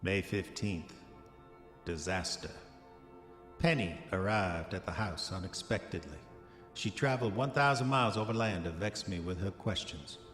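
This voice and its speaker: An actor playing an arrogant, dissolute diarist of the 1910s, speaking formal old-fashioned entries in a pompous manner for a horror audio drama. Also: male voice, low voice, moderate pace, fairly bright tone. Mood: bitter, uneasy. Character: arrogant, dissolute 1910s male diarist, self-satisfied, increasingly haunted. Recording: echoey long reverb, music underneath. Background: music.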